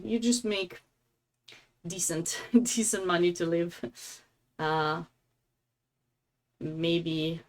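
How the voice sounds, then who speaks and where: Low-pitched Romanian-accented woman commentating. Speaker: chess commentator and streamer. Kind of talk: casual live game commentary with chat.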